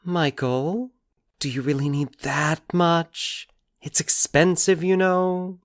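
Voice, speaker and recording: A man's voice: a little high, fairly even intonation, slow pace, pitched above the performer's own voice. A man voicing a thrifty mother who nags her son with a suggestive, indirectly scolding tone in a story. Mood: gently reproachful.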